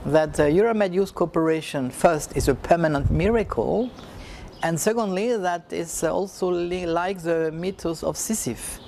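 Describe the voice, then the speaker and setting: Male program coordinator, reflective and halting delivery, somewhat high-pitched, faint noise in the recording. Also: vocal fry, a little mellow. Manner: halting, non-native phrasing with many fillers. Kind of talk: reflective speech.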